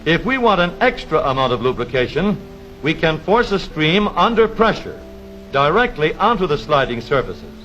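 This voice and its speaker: Man, 1930s instructional film narration, higher-pitched voice, lively intonation, formal announcer delivery, music behind. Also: dull audio. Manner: measured formal announcer delivery, precise explanatory phrasing.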